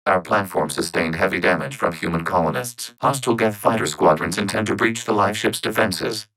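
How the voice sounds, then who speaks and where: A man voicing a calm, logical networked robot intelligence in a video game, speaking in formal, precise, stilted phrases through synthetic processing. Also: fairly low pitch, a little fast, clear, resonant tone, low-quality recording. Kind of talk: scripted video game character dialogue.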